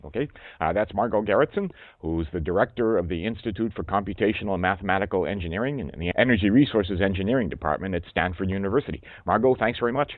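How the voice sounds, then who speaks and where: Low-voiced male interviewer, fast-paced, dry and phone-thin. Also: lively intonation, no echo. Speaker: radio interviewer, host. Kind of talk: radio interview. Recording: tinny phone-like line.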